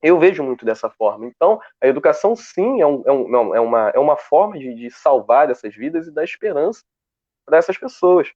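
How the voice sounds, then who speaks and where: A man speaking on a live video call, talking in a lively way with many restarts as he searches for words. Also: on the high side, fairly quick, no room sound. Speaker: guest researcher. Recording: dull, dry lo-fi call audio.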